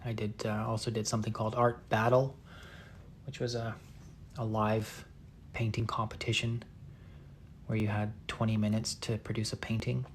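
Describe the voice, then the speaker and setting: A soft-spoken man in his thirties talks casually as he paints, stopping and restarting often. His voice sits low and barely moves in pitch, and a faint hiss lies under it. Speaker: painter streaming a live session. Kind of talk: casual chat while painting.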